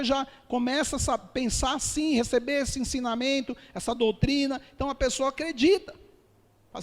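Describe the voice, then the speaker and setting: A man with a Brazilian Portuguese accent, a pastor giving his testimony to a congregation, often calling them dear ones and brothers and slowing down for emphasis. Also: very high voice. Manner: addresses listeners as dear ones and brothers, dramatic slowdowns. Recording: long hall reverb.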